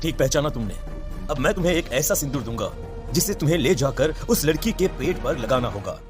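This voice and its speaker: A man's voice: a little high, animated, a little fast, over music, natural voice. A man playing a grave old village priest in a horror cartoon, solemn and emphatic as he gives warnings. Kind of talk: Hindi horror cartoon voice acting.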